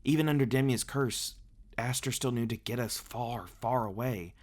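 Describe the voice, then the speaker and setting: A man voicing a flustered, excitable star being in a fantasy audio roleplay, exclaiming and rambling in agitation. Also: medium pitch, moderate pace, natural voice. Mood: alarmed realization. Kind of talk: ASMR roleplay acting.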